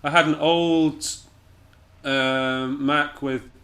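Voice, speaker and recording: A man's voice: a little high, steady tone, extremely slow, pushed and bright. A man from Scotland chatting casually as an interview guest, in a hesitant, understated and self-deprecating way. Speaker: interview guest, jazz pianist and musician.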